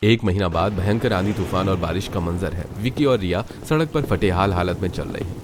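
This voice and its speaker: A man narrating a Hindi cartoon story in an even storytelling voice. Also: deep voice, brisk, noisy background.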